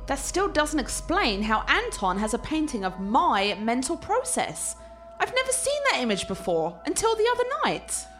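A woman's voice: high-pitched, sing-song, moderate pace, fairly bright tone. An actress playing an intense, troubled, genteel young lady in a horror radio play, speaking in a theatrical and formal way. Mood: alarmed, insistent. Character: intense, troubled genteel girlfriend haunted by nightmares. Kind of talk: dramatic radio play acting. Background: music.

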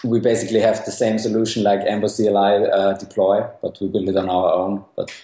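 A man's voice: fairly low pitch, flat delivery, fast, mellow. A young man from Austria, a guest developer talking shop in a casual interview, hesitant with many restarts and fillers. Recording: echoey room.

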